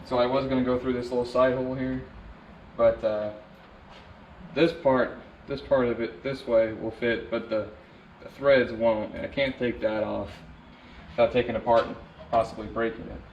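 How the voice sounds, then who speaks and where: A man narrating a DIY vehicle install in a relaxed, casual way, talking to himself as he works. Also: mid-range voice, moderate pace, pauses often, a little hiss or hum. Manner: laid-back, talks to himself while working. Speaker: DIY vlogger. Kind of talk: casual how-to narration while working. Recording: roomy, distant, dull camera audio.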